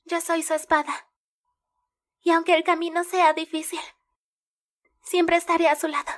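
A woman with a Latin American Spanish accent playing a gentle, loyal young raccoon-girl companion in an anime fan dub, earnest and pleading. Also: very high voice, level tone, normal speed. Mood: tender, resolute.

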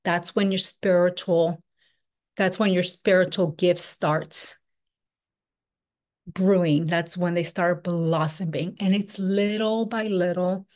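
A woman's voice: on the low side, fairly even intonation, slow pace, darkish tone. A woman, teaching a spiritual workshop over a video call in a loose, flowing way with many "like"s. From Peru. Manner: casual, flowing, filler-heavy with frequent "like" and "um". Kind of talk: online workshop presentation. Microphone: webcam on video call.

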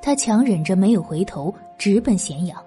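A woman's voice: medium pitch, animated, normal speed, dark tone, faint music behind. A woman reading a historical novel as scripted, dramatic audiobook narration.

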